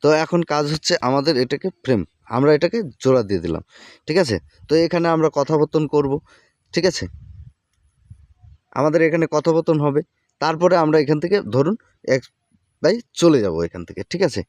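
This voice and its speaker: A man's voice: on the high side, lively intonation, brisk, pauses often, a little mellow, no room sound. A man hosting a casual, step-by-step app tutorial, often checking in as he instructs. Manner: repeatedly checks in while instructing. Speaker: tutorial host.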